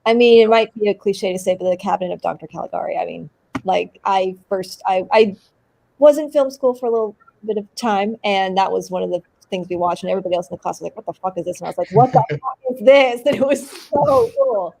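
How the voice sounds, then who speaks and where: A woman actor chatting casually as an interview guest, animated and very informal, often saying like. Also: mid-range voice, quick pace, creaky, rough recording. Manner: animated, informal, peppered with 'like'. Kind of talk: casual chat.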